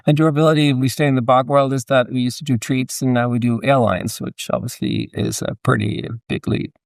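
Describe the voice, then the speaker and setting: A man with a Danish accent chats as a guest on a podcast. His mid-range voice moves haltingly through casual, hedged explanations. The sound is dry, with little room around it. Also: medium pitch, lively intonation, creaky, no room sound. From Denmark. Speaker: podcast guest, entrepreneur and author. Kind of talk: casual interview chat.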